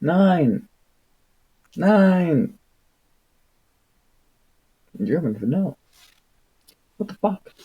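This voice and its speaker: A man livestreaming a book reading in a casual, rambling, irreverent way, with a husky voice and an exasperated, sweary manner. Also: on the high side, very animated, very slow, pauses often, lo-fi sound. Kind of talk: casual rambling livestream with read-aloud passages.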